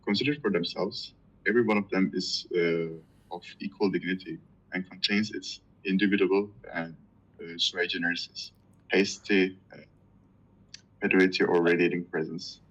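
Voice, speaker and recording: A man whose first language is Turkish, reading a dense philosophy text aloud to a reading group, halting and stumbling over hard words. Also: sits low, steady tone, a bit bright, lo-fi sound.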